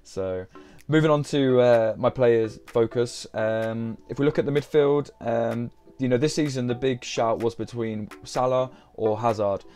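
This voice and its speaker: A young man, chatting casually on a live stream, slightly croaky from a sore throat, hesitating often with ums and uhs. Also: medium pitch, unhurried, a little mellow. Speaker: fantasy football streamer.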